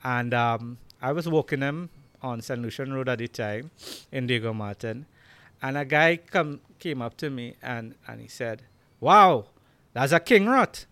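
Trini podcast host, smooth voice, dry close sound, lots of ums. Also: male voice, medium pitch, moderate pace, fairly bright tone, no echo. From Trinidad and Tobago. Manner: storytelling, hesitant with fillers and repeats. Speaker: podcast host and Rottweiler breeder. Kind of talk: casual conversation.

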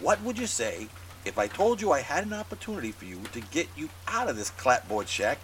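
A man acts out an exasperated old pal in a noir radio play. His voice is high and swings widely as he pleads and pushes. Rain sounds behind him. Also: big pitch swings, fairly quick, background noise. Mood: urgent, persuasive. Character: exasperated, fast-talking old friend bringing a shady job. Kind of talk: noir audio drama acting.

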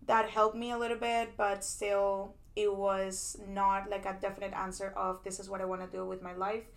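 Flat-voiced young woman from Peru. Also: mid-range voice, moderate pace, vocal fry. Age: young adult. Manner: chatty, storytelling with many likes and restarts. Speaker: PhD student guest. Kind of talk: casual interview. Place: conference venue.

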